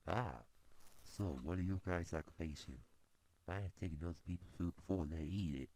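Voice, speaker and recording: A man voicing a gruff, food-stealing male forest creature in an amateur cartoon, explaining things slowly. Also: deep voice, darkish tone. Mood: matter-of-fact.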